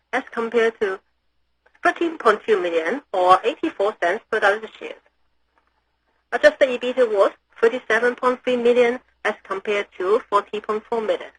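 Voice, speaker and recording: A woman's voice: mid-range voice, fairly bright tone. Woman reading formally on a noisy call. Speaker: company financial executive. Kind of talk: scripted financial report on an earnings call. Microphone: phone or conference call line. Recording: noisy conference call line.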